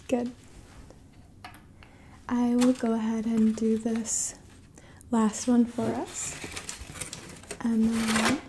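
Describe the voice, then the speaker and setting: A young woman hosting an ASMR roleplay, speaking gently and softly to the listener in a hushed voice that slips into whispers. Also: on the high side, slight background noise. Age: young adult. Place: small dim indoor room at a table.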